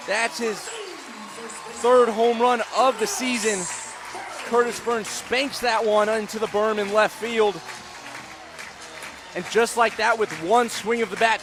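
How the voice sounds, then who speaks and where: A man doing live radio baseball play-by-play as the announcer, excitable on big plays with drawn-out calls. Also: sits high. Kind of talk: live sports commentary. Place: outdoor baseball stadium broadcast. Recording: loud crowd and stadium noise behind. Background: crowd, ballpark ambience.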